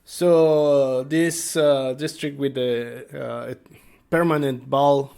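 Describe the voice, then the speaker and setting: Halting man talking through game ideas. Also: mid-range voice, fairly even intonation, creaky, a little mellow, smooth. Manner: halting, thinking aloud. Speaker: tabletop game master. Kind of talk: casual unscripted explanation to viewers.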